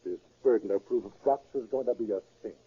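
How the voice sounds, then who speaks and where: An actor playing a bossy, confident safecracking gang leader in a 1930s radio drama. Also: male voice, fairly low pitch, a little fast, loud music behind the voice, close to an everyday voice. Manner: commanding, cocksure. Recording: muffled vintage 1930s radio recording.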